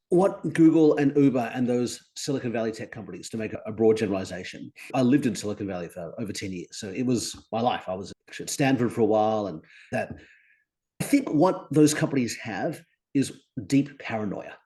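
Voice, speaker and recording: An Australian man in his forties, a guest on a podcast, talking upbeat and articulate with animated emphasis. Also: medium pitch, brisk. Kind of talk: conversational interview.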